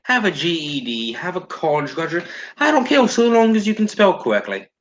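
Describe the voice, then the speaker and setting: A man's voice: a little high, big pitch swings, brisk, slight background noise. A man hosting a casual commentary chat in a blunt, sardonic, sweary way. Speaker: host.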